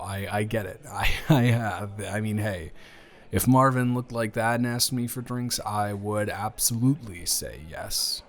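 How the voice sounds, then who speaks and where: A man playing an awkward, joking, smitten young lover in an improvised intimate audio roleplay, hesitant and wordy with self-deprecating humor. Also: on the low side. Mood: flustered, joking.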